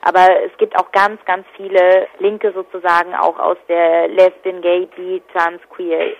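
A woman's voice: fairly low pitch, flat delivery, a little slow. A woman giving spontaneous interview answers as an activist. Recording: dull, lo-fi telephone line.

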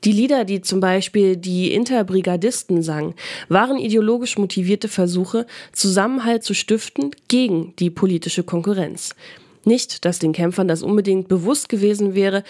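A woman's voice: mid-range voice, moderate pace, dark tone. A woman reads a scripted radio documentary as its narrator.

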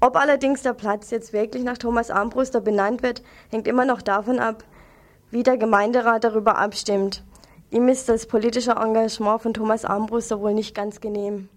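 A woman's voice: a little high, steady tone, moderate pace. A woman presenting local radio news, reading from a script.

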